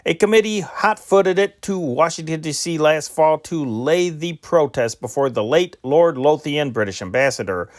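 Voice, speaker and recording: A man with a voice pitched a bit higher than most reads out old newspaper dispatches one after another. His delivery is steady and unpolished, with occasional slips where he restarts a word. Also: normal speed, few pauses. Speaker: news reader.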